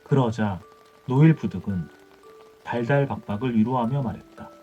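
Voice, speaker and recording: A man's voice: mid-range voice, moderate pace, mellow. A man reading an old folktale as scripted bedtime audiobook narration. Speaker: audiobook storyteller. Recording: dull muffled audio with music.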